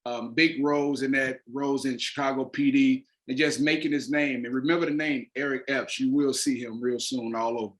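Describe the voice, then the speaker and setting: A man's voice: mid-range voice, steady tone, moderate pace, few pauses. A man talking casually and emphatically as an interview guest, an actor with informal, slangy speech. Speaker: stage play creator and actor, interview guest.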